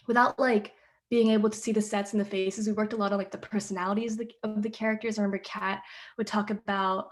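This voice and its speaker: A teenage girl, the playwright, thoughtfully explaining her writing with many ums, speaking over a video call. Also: medium pitch. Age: teens. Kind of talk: casual panel discussion.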